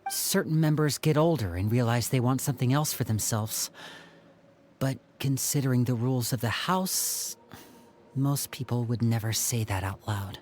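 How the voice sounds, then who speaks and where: An actor playing a calm, polite young man who recounts things in a gentle, measured way. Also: medium pitch, slight background noise, close to an everyday voice.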